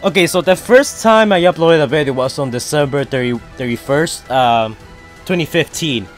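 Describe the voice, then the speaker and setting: A man's voice: fairly high pitch, expressive, moderate pace, faint music behind. A young man with a foreign-sounding accent, rambling casually through fan questions on his channel. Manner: rambling, chatty, frequent fillers. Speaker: YouTuber answering fan questions. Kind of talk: casual Q&A chat.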